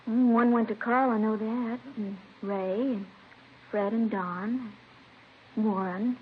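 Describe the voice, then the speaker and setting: A woman playing a casual, cooperative young college graduate in a 1950s radio drama, plainspoken and unhurried. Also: on the high side, fairly even intonation. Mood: offhand, thoughtful. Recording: muffled, noisy vintage radio recording.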